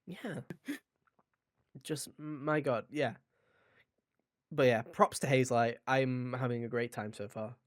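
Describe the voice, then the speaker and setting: A man in a casual podcast chat, recorded close and dry. He talks as an enthusiastic co-host, informal and happy to swear, in a mid-pitched voice. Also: measured pace, pauses often, dry recording.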